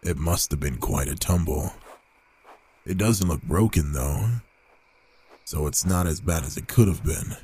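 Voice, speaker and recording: A man plays a reclusive male werewolf for an ASMR roleplay. His voice is extremely deep and he takes his time, pausing often between phrases. He comes across as gruff yet reluctantly caring and protective. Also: warm tone, full character voice. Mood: reluctantly helpful. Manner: slow, halting, murmured delivery with pauses.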